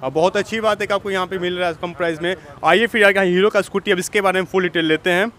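A man's voice: high voice, fairly even intonation, quick pace, fairly bright tone. A young man hosting an upbeat walk-through vlog at an outdoor used-vehicle lot, echoing the seller's answers back. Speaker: vlogger host. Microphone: handheld lapel-style mic.

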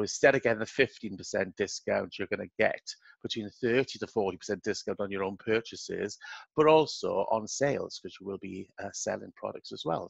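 A man with a slightly hoarse voice, giving a conversational sales presentation on a webinar. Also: lowish voice, no echo. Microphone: online webinar microphone. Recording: dull lo-fi webinar microphone.

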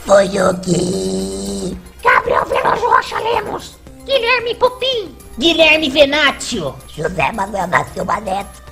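A woman's voice: mid-range voice, sing-song, slow, creaky, fairly bright tone, soft background music. A woman announcing credits, reading out a list of supporter names.

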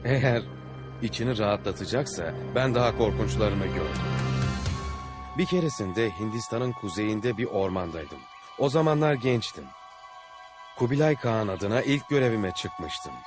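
A man's voice: on the low side, animated, moderate pace, natural voice. A man playing a seasoned Venetian explorer captain in a dubbed cartoon, earnestly recounting his adventures. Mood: calm, reflective. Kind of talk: dubbed cartoon dialogue and storytelling. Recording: background music under the voice.

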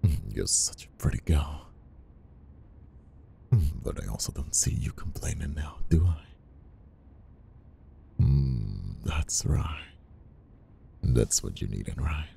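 Man acting very deep, gravelly flirtatious boss. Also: lively intonation, mellow, a little hiss or hum, heavily acted. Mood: seductive, commanding. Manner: slow, breathy murmuring with sighs. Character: flirtatious, conflicted male boss, dominant and teasing. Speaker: voice actor and ASMR roleplayer. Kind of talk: intimate ASMR roleplay acting. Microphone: close ASMR microphone.

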